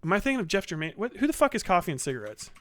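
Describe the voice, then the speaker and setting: A man giving profane, casual livestream commentary, rambling and thinking out loud as he goes. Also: high-pitched, very animated, rapid-fire. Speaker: live streamer reacting to videos.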